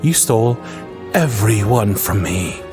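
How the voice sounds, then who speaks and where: A man's voice: on the low side, animated, slow, music in the background. A man playing a bitter, scornful younger prince in a fantasy audio drama, brooding and theatrical, full of contempt. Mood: bitter, wounded.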